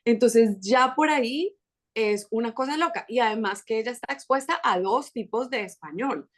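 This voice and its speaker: A Colombian-accented woman speaking as a guest on a parenting podcast. Her voice sits on the high side, and she talks in an animated, chatty way, restarting phrases as she goes. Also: normal speed. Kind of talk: casual conversational interview.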